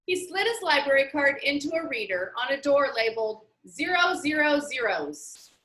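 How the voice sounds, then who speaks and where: A woman reading a children's novel aloud in an expressive storytelling manner. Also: sits high, normal speed. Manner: expressive, acts out dialogue. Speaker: reader. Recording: roomy, echoey sound.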